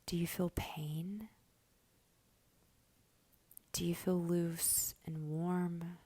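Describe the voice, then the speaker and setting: A woman guiding a meditation in a soft, breathy voice that is often close to a whisper, giving gentle, slow instructions with long pauses. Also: on the low side, level tone. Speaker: guided meditation host. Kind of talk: slow guided meditation narration.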